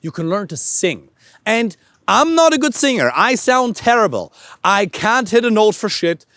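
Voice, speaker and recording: Energetic high-voiced male dating coach. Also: moderate pace, no echo, studio quality. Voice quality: lively, emphatic, swears casually. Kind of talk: walking talk to camera, coaching. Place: outdoors, walking with a camera operator.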